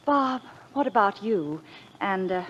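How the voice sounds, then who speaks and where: An actress playing a flirtatious, affected society woman with a husky, languid delivery, in 1940s radio comedy style. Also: medium pitch, expressive, slow pace, fairly bright tone, heavily acted. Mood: seductive. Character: flirtatious, affected, much-divorced society woman, predatory and glamorous. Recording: dull old radio recording.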